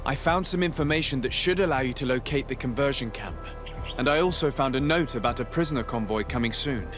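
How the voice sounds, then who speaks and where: A man's voice: mid-range voice, quick pace, over music, close to an everyday voice. An actor playing a composed young nobleman and diplomat in a video game, formal, self-assured and politely defiant. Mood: composed, purposeful.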